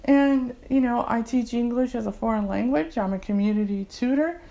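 A woman's voice: fairly high pitch, moderate pace, gravelly, warm tone. A woman in her forties, a community English tutor, speaking earnestly and enthusiastically in a casual personal testimonial. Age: 40s.

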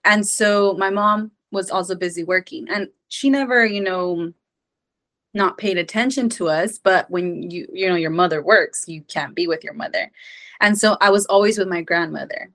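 A woman's voice: lowish voice, moderate pace, a bit bright. A woman in her twenties from Mexico, a podcast guest telling her life story in an earnest, rambling way with many fillers. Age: 20s. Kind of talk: casual personal storytelling.

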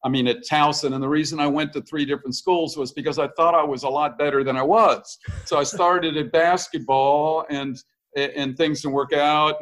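A man's voice: fairly high pitch, fairly bright tone. A man past sixty speaks as a podcast guest, candid and a little self-deprecating. He tells his stories in loose, conversational runs, often restarting his sentences mid-thought. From United States. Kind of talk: casual conversational interview.

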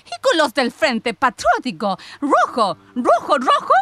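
A woman's voice: high voice, wildly swooping pitch, a little slow, pushed and bright, exaggerated voice. An actress playing a suspicious, intense woman who questions people insistently in a radio drama. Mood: accusing. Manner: probing, insistent, agitated. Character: suspicious, intense sister returned from exile, interrogating. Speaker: actor in a radio play.

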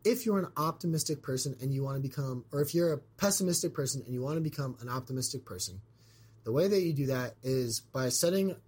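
A man hosts a casual podcast with a scratchy, husky voice, hoarse after a week of being sick. He sits a little high in pitch and rambles through his thoughts with plenty of fillers and restarts. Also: darkish tone. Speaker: podcast host. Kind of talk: casual chat.

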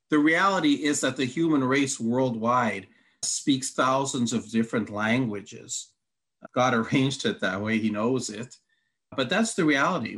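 A man's voice: medium pitch, a bit bright. A man whose first language is Hungarian, a Bible teacher reading long quotes aloud and laying out his answers point by point. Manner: methodical, reads long quotes, counts points. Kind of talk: teaching conversation.

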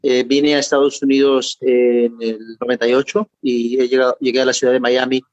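A middle-aged man with a Peruvian accent speaks as a guest over a remote call. The audio is dull and dry. He talks in a halting way, repeating words and adding little tag questions. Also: mid-range voice, level tone, no room sound. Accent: Peruvian Spanish. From Peru. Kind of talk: interview.

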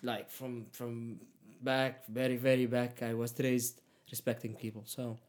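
A young man whose first language is Arabic, speaking English in an easy conversational way. He stops and restarts often and pads his sentences with fillers. His pitch sits at an ordinary middle level. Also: little pitch movement, a little slow, darkish tone. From Palestinian, raised in Egypt. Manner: hesitant, many fillers and restarts. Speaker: guest. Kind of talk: casual conversation.

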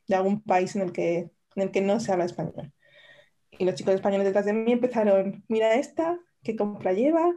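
A woman co-hosting a podcast, telling a story in a relaxed chat with frequent restarts. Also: medium pitch. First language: Spanish. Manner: storytelling, many restarts and "o sea". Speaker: podcast co-host. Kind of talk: casual chat over wine.

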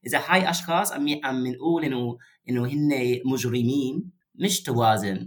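Young man, Levantine learner accent, hesitant, mid-pitched voice, casual podcast chat. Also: fairly quick. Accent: mixed Jordanian and Lebanese Arabic, as a learner. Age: young adult. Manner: hesitant, searching for words, mixes in English. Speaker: podcast host, Arabic learner.

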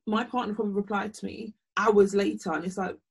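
A young woman with a croaky voice co-hosting a podcast, talking casually with many pauses. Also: medium pitch. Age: young adult. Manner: relaxed, hesitant, many ums. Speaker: podcast co-host. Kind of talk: casual chat.

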